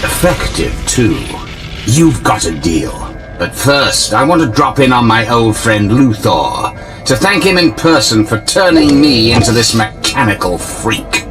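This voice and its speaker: A vengeful cyborg supervillain in a video game. His mid-pitched voice moves animatedly from sardonic to threatening, with a taunting sneer and music behind it. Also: sing-song, measured pace. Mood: sardonic, calculating.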